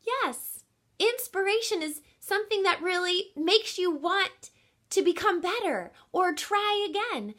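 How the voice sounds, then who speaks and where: Bright, animated woman reading to children. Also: very high voice. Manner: teacherly, asks listeners questions, deliberate emphasis. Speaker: reading teacher, read-aloud host. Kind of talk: expressive picture-book read-aloud for children.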